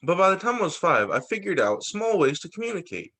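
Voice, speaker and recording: A man's voice: high voice, fast. A man reading a picture book aloud to young students as their teacher, expressively and with small asides. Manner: animated, explains and checks in with young listeners.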